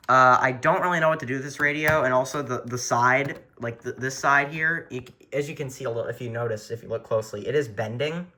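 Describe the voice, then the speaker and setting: A man with a bright, forward voice talks through a casual hobby show-and-tell. He rambles and corrects himself as he goes, filming handheld in a room at home. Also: medium pitch. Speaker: hobbyist video host. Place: small furnished room at home, indoors. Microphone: handheld camera or phone, behind the lens.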